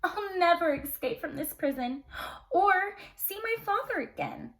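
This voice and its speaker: A woman's voice: very high voice, expressive, measured pace, fairly bright tone, voice pushed up, heavily acted. A woman voicing a brave, devoted young storybook heroine, earnest and anxious. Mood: despairing.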